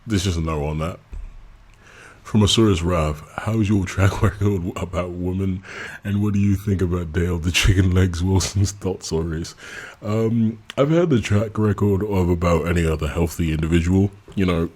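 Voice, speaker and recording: Male channel host, deep husky voice, candid and blunt in a relaxed Q&A. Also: moderate pace. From England. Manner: candid and blunt, laughs often. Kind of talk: casual subscriber Q&A.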